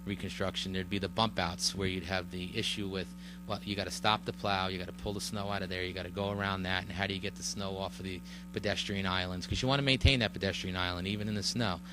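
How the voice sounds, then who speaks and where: Low-voiced man with mains hum behind. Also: steady tone. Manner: halting, many fillers and restarts. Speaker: village official presenting a road project. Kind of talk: public meeting testimony. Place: village board meeting room.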